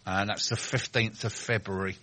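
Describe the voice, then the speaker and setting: A man's voice: on the low side, steady tone, normal speed, pushed and bright. A man hosting a local business radio show, reading event listings and trading dry, teasing banter with his co-host. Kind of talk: casual radio chat and event announcements.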